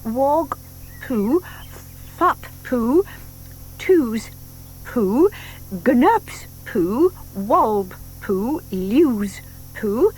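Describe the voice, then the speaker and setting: A woman's voice: on the high side, poor audio. An actress playing a sweet, trusting older lady, hesitant, polite and easily puzzled, in a children's audio drama. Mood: slow, careful. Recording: faint hiss from old cassette tape.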